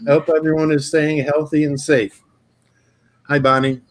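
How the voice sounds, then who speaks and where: Chatty host man, slightly high voice. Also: flat delivery. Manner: chatty, many filler pauses and restarts. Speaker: talk show host. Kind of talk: casual video-call interview. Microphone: video call.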